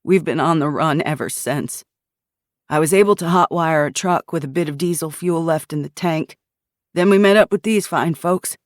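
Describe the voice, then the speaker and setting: A woman's voice: low voice, moderate pace, no room sound, lightly acted. Bill is a grave, grieving mechanic and widowed father, worn down by loss and flight. A woman narrator acts him out in a voice close to her own, only slightly lifted. The sound is dry.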